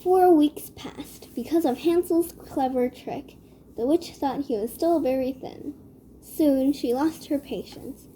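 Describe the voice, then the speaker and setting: Woman, children's story narrator, soft mellow tone, fairly high pitch, measured reading, faint background noise. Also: poor audio. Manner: measured read-aloud delivery.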